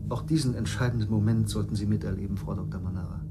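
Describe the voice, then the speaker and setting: An actor playing a courteous survivor of a destroyed world, narrating in a measured, formal way in a radio drama. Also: male voice, on the low side, steady tone, close to an everyday voice. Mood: measured, grave. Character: courteous male witness from a destroyed planet, explaining. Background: music.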